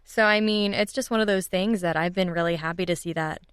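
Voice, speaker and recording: A woman with a smooth, slightly low voice talks in an informal, enthusiastic way, as a guest in a casual interview. The sound is dry and close, with a clean, clear tone. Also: fairly quick, dry recording. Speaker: guest, audio drama writers' room leader.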